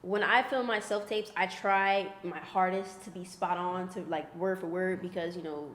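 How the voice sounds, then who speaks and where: A woman's voice: on the low side, fairly even intonation, moderate pace, a bit bright. A woman, an actor, talking casually about her process, with lots of likes and restarts. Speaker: actor guest. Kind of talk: casual chat. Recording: echoey room with long reverb, faint noise.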